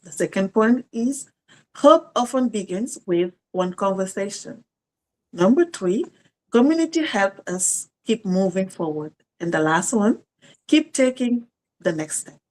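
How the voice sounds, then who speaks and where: A woman from Senegal co-hosts the show, reading out the day's topic and points in a brisk, list-like way. Her voice sits in an ordinary middle range. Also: medium pitch, big pitch swings, darkish tone, low-quality recording. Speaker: co-host.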